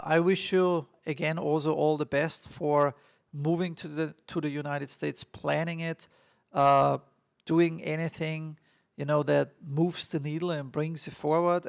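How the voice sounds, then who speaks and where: A man with an Austrian accent talks straight to his viewers, earnest and encouraging, circling back to his points with plenty of fillers. His voice sits a little high and has a gravelly, fry-tinged edge. The recording sounds dull and lo-fi. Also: fairly even intonation, measured pace. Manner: earnest and encouraging, repeats himself, frequent fillers. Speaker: immigration vlogger. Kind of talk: advice monologue to camera.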